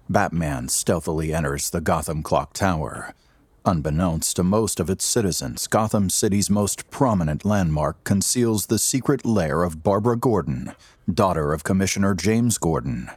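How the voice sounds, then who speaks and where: An actor as the narrator of an audio drama, a husky man delivering solemn, dramatic scripted narration. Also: deep voice, expressive, moderate pace, darkish tone, no room sound. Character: solemn, dramatic male storyteller of superhero adventure.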